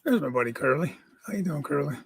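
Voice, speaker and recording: A man with a raspy voice hosting a casual livestream from home, greeting viewers by name and chatting. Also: a little high, expressive, fast talker. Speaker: livestream host.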